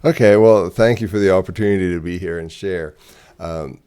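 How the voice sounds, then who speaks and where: A man's voice: deep voice, fairly quick, mellow, smooth. A middle-aged man from Zimbabwe, a ministry director, telling his story in an interview with frequent hesitations and repeated words.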